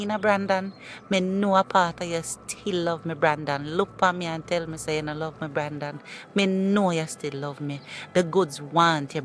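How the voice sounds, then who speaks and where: A woman's voice: lowish voice, slight background noise, close to an everyday voice. An actress playing a desperate young woman begging her ex-lover to take her back, in a comedy skit. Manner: pleading, tearful begging.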